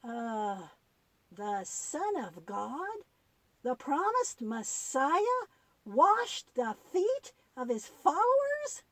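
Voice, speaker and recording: An eager young boy puppet, voiced by a woman, speaks high and very animatedly. He is curious and easily amazed, questioning everything in a children's puppet show, with a dry, close sound. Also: very animated, extremely slow, fairly bright tone, no echo, heavily acted. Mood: curious amazement. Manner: wide-eyed, questioning, exclamatory.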